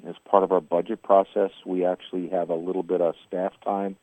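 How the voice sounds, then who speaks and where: A man answers as an interviewed agency official. His voice is deep and low, heard on a dull, limited recording with little room sound. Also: flat delivery, a little fast, no room sound. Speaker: agency executive director, interviewee. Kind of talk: interview talk.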